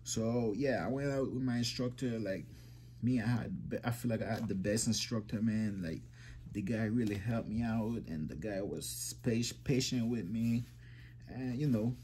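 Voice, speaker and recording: A man's voice: mid-range voice, normal speed, mellow, slight background noise. A young man in his twenties talking casually to the camera from a small room, rambling and repeating himself as he addresses viewers as guys. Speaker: trucking vlogger.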